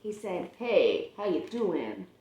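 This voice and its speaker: A woman reading a picture book aloud to a child and chatting about it, with expressive, drawn-out emphasis on phrases. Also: lowish voice, lo-fi sound. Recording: roomy, echoey home recording.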